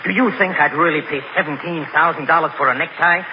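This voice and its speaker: An actor playing an oily, menacing male counterfeiter in a 1940s radio comedy, speaking with a soft creepy purr. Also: fast talker, a little hiss or hum, natural voice. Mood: scornful. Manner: soft creepy purr, turns to shrill panic. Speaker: guest star villain. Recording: muffled old radio broadcast.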